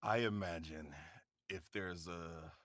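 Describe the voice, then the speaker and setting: Man, bright forceful voice, highly animated, jokey, casual after-show chat, close dry sound. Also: takes it slowly, no room sound. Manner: jokey, acts out imagined dialogue. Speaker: voice actor on a panel.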